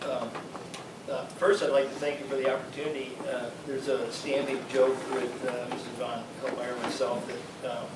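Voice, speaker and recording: Flat-voiced man presenting to a school board. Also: mid-range voice. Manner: casual, halting with fillers. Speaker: district director of student success. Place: school board meeting room. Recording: echoey room, distant mic, noisy.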